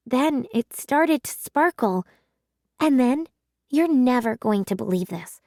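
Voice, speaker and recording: A woman reading a children's story aloud with measured, dramatic storytelling. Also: sits high, darkish tone, no room sound, studio quality. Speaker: children's audiobook reader.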